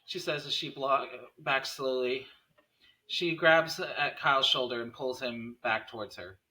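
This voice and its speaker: A man's voice: mid-range voice, fairly even intonation, unhurried, sharp tone, low-quality recording. A man reading scene headings and stage directions as narrator at a script table read.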